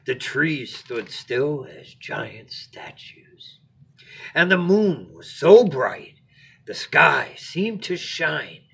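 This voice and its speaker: Man, bedtime story reader, husky, slow and unhurried, very varied intonation, dramatic pauses, hushed phrase endings. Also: a little high, sing-song. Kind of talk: expressive read-aloud of a children's picture book.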